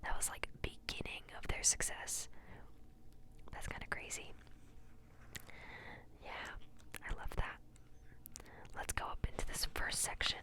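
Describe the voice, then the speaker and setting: A young woman whispering softly for ASMR, reading quotes from a book aloud and adding giggly, chatty asides full of fillers, close to the mic. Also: unhurried, pauses often. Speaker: ASMR creator.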